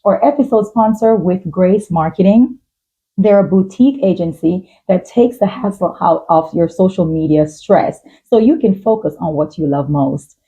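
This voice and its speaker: Low, very mellow female podcast host. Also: normal speed, poor audio. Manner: affirming, supportive. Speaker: talk show host. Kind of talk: conversational podcast hosting.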